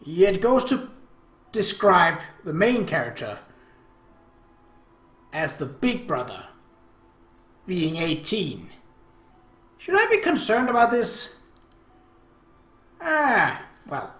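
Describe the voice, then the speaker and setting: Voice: medium pitch, wildly swooping pitch, slow pace, pauses often, a bit bright, echoey room. A game host giving casual, wry commentary and reading a visual novel story aloud, with hesitant asides. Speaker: let's play host.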